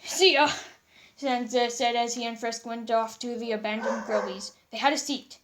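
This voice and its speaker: A woman's voice: on the high side, flat delivery, moderate pace, background noise. A woman narrating an amateur fan fiction read-aloud, stumbling and repeating words as she reads.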